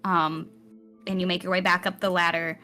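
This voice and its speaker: A woman game master with a bright, forceful voice, telling a fantasy tale in theatrical storyteller phrases. She addresses her players as she goes, over quiet background music. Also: on the low side, little pitch movement, normal speed. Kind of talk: narrating a live tabletop roleplaying game.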